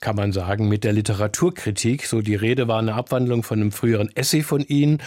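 Guy interviewer, calm measured questions, dry studio sound. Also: mid-range voice, no echo. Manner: measured, long framing questions. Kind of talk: cultural radio interview.

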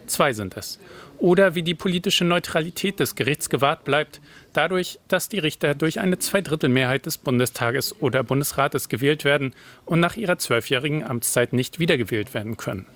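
A male reporter reading a scripted news report in an even, measured way. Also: a little high.

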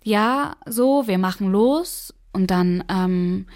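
A woman's voice: mid-range voice, slow pace, a little mellow. A young woman from Afghanistan in her twenties, telling her own story in a documentary interview, hesitant with many fillers and emotional.

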